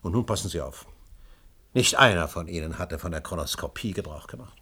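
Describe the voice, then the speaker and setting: An actor in a German radio play, playing a driven, imperious middle-aged scholar who suspects conspiracies and speaks in emphatic, deliberate phrases. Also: male voice, deep voice, expressive, sharp tone, husky, heavily acted. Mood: insistent. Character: driven, conspiracy-minded older male history scholar, insistent and imperious.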